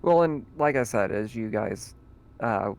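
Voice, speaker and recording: A man narrating as game master in a relaxed, chatty way, with plenty of fillers. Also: fairly low pitch, unhurried, vocal fry.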